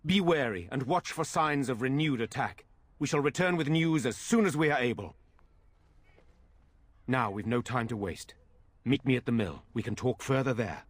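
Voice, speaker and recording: An actor playing a noble leader in a fantasy game, speaking in a formal, oratorical way with stately gratitude. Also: male voice, medium pitch, expressive, moderate pace, no echo, natural voice. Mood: resolute. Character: noble man leading defenders, stately and grateful.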